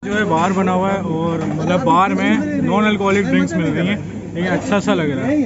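A young man giving a relaxed, chatty walkthrough of a buffet, filming himself on a phone at arm's length. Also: high voice, fairly quick. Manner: relaxed, chatty, pointing things out as he walks. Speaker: food vlogger. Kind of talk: casual walkthrough vlog. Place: outdoor buffet at a waterfront restaurant. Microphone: phone camera held at arm's length. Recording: dull lo-fi sound with crowd chatter.

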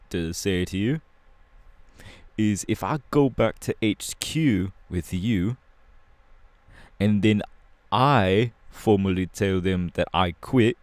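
Man acting a deep-voiced young London punk rebel. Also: dry recording. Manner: casual, slangy, halting, irreverent. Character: cocky, rebellious young London punk superhero, laid-back and anti-establishment. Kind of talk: ASMR audio roleplay.

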